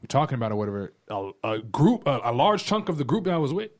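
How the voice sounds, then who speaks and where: A man's voice: medium pitch, big pitch swings, fast. A man talking casually on a podcast panel, emphatic and slangy, swearing freely. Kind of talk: casual chat.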